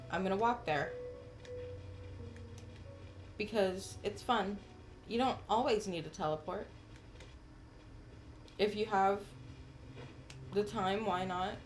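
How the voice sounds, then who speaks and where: A woman's voice: mid-range voice, low-quality recording. A woman casually commentating a game livestream and chatting with viewers, enthusiastic and full of gamer slang. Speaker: game streamer.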